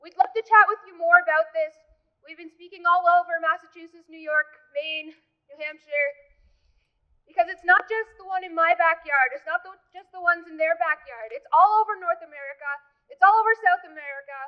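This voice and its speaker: A woman's voice: extremely high pitch, a little fast, dull audio. A Canadian woman speaking earnestly and emphatically as an activist at a public rally. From Happy Valley-Goose Bay, Labrador, Canada.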